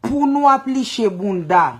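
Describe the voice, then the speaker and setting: A woman's voice: on the high side, expressive, measured pace, a little mellow. A woman from Haiti with a Haitian accent, speaking in an impassioned, repetitive way about her country and mixing in Creole words. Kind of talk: impassioned political talk.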